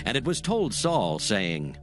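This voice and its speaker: Expressive male scripture narrator over music. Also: lowish voice, slow pace. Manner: solemn, formal archaic phrasing.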